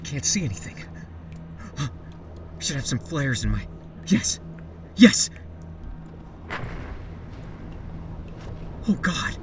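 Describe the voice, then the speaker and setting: A man's voice: normal speed, natural voice. An actor playing a man trapped in a diving suit on the sea floor, talking himself through his fear. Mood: awed. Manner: breathless, talking to himself, rising into screams. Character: male deep-sea diver trapped, panicking. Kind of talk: amateur radio play acting. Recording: distant mic with steady underwater rumble.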